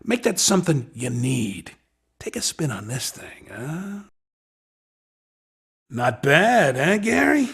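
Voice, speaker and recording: Raspy, slangy hustler. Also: male voice, fairly high pitch, sing-song, slow, long pauses, fairly bright tone, heavily acted. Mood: coaxing, slick. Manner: slangy, coaxing salesman patter. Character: Skid, a hustling male street racing recruiter, cocky and slangy. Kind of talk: video game character dialogue.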